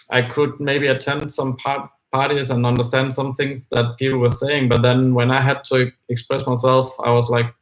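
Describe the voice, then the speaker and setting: Man musing in halting English. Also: medium pitch, rough recording. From Denmark. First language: Danish. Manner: hesitant, thinking aloud with many fillers and restarts. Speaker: co-host of a live book discussion. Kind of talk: casual discussion.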